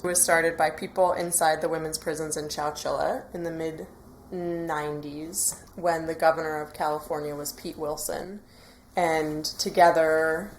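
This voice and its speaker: A woman speaks as a volunteer interview guest in a low-pitched voice. She explains step by step in informal language. There is faint noise behind her. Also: rough recording.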